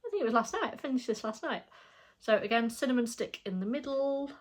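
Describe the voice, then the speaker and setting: Woman, thirties, stuffy with a cold, rambling casual vlog chat, soft-spoken, fillers. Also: a little high, animated, fairly quick. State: bunged up with a cold. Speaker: flosstube vlogger. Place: her home.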